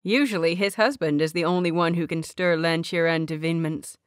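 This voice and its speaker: A woman's voice: on the low side, fairly quick, clear, resonant tone, dry recording, clean studio sound. A woman reading a scripted fan fiction story aloud as its narrator.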